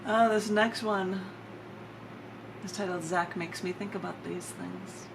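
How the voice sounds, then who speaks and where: A woman with an American accent giving a live poetry reading, with theatrical, drawn-out emphasis on her words. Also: on the low side. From United States. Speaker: poet. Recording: clear background noise.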